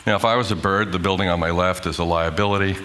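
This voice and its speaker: A middle-aged man, an architect, giving a prepared talk with emphatic, measured delivery and rhetorical questions. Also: low voice, fairly even intonation, fairly bright tone, slight background noise. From grew up in Hong Kong. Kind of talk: prepared conference talk played in class.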